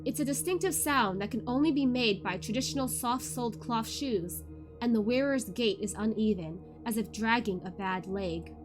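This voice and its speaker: Girl vtuber doing a book readalong, higher pitched voice, informal and expressive, faint music behind her. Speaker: VTuber host of a book read-along. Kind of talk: casual chatty livestream; expressive audiobook-style narration of a novel. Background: background music.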